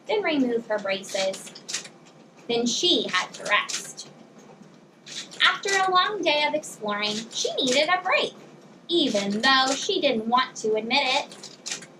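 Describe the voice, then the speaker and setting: Female storyteller, high voice, teacher-style expressive read-aloud for kids, slightly noisy recording. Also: a little slow. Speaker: teacher reading a children's book aloud.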